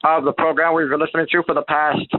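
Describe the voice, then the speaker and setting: A narrow, phone-like recording of a man with a slightly high voice. He speaks as the host presenting an old-time radio program. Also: level tone, fast talker. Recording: thin, phone-like, band-limited sound.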